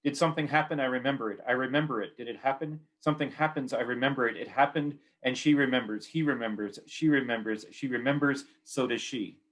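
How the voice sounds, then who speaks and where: A man's voice: medium pitch, fast talker, few pauses, a bit bright, low-quality recording. A man with a Canadian accent giving a poetry reading of his own work in short, clipped, repeating phrases.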